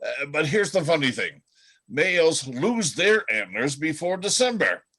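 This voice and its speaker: A man playing a chatty, world-weary reindeer in an amateur radio play, telling gossip in a folksy, halting way. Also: fairly high pitch, very animated, fairly bright tone, breathy edge, full character voice. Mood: amused storytelling.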